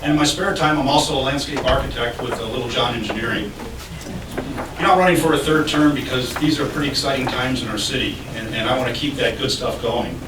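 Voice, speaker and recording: A man delivers his opening statement at a local candidate debate, friendly with a touch of humor. He sounds distant from the mic, with the room's echo around him and a steady wash of noise behind. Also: medium pitch, fast. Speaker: city commissioner candidate. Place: public library meeting room. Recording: echoey room, distant mic, noisy.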